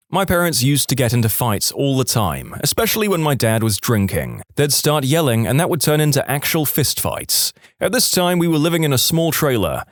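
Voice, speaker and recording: A man's voice: medium pitch, no echo, studio quality. A man reading scripted online stories as a narrator, acting out the quoted speech.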